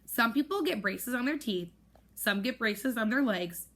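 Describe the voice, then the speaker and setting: A woman's voice: fairly high pitch, lively intonation, measured pace, a bit bright, heavily acted. A woman teacher voicing a stern, tall woman who scolds a girl, read aloud from a storybook.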